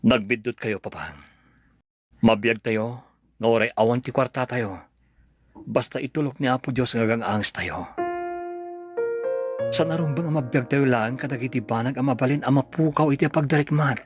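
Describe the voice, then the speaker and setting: A man's voice: medium pitch, moderate pace, long pauses, husky, dry recording, clean studio sound, soft background music, natural voice. A man playing an earnest, pained son and devoted husband in a radio drama, pleading, with an Ilocano accent. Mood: pleading, reproachful.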